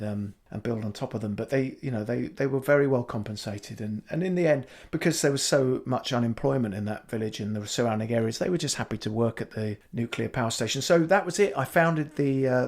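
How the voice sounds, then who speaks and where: A man improvising a fake life story as a comedy podcast guest, rambling in a deadpan way with many restarts. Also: mid-range voice. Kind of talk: improvised comic interview.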